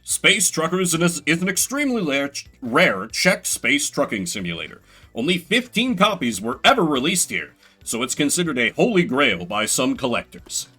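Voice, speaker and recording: Theatrical, bright-voiced man streaming a game. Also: a little high, sing-song, moderate pace, breathy edge, soft background music. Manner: theatrical, sardonic, rambling asides. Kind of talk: solo-voiced let's play commentary, reading game text aloud dramatically.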